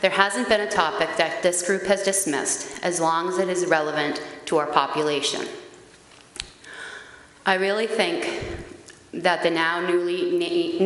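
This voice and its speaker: A woman in her forties with a loud, carrying voice, reading a prepared statement at a podium microphone in a town meeting chamber. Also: fairly low pitch, a little slow. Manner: earnest, steady reading with occasional hesitant fillers. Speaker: parent group facilitator at a public meeting. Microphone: gooseneck podium microphone. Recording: echoey hall, faint background hiss.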